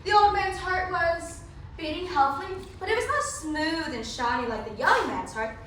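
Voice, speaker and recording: A woman's voice: very high-pitched, lively intonation, moderate pace, a bit bright. A woman performing scripted theatrical narration as a readers theatre performer. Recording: very echoey room, distant mic, faint noise.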